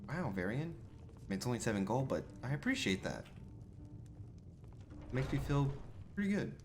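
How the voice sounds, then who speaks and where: A man's voice: mid-range voice, big pitch swings, normal speed, darkish tone. A man role-playing his character in a video game, improvising casual, polite commentary as he plays. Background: game music.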